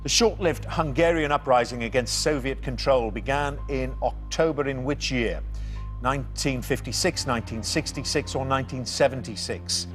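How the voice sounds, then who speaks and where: A man hosting a TV quiz show in a jovial, upbeat way, joking with the contestants between questions. He reads each question and its answer options slowly and clearly, with some quiet music under the show. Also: medium pitch. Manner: jovial, reads questions deliberately, chuckles at his own puns. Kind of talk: TV quiz hosting, scripted intro and banter.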